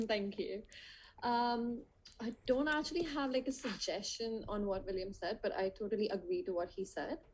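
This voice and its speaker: A woman's voice: medium pitch, moderate pace, slight background noise, rough recording. Young female student, mid-range voice, casual and slightly hesitant conversational delivery. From India. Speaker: student participant in a group discussion.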